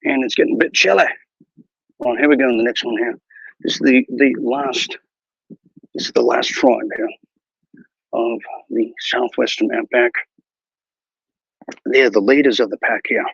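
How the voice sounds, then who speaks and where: A man playing a bumbling, boastful wilderness ranger who hosts a mock nature show, talking in a mock Australian accent with hushed, mock-serious narration and a husky voice. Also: medium pitch, steady tone, moderate pace, long pauses, no room sound, muffled recording. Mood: hushed bravado. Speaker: mock wildlife show host. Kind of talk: improvised parody nature documentary.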